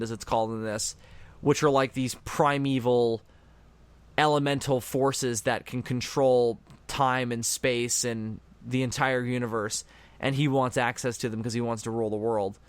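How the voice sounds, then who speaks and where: A man's voice: medium pitch, clear, resonant tone, no echo. A man hosting a casual fan commentary on a cartoon, explaining things in a matter-of-fact way. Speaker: commentary host.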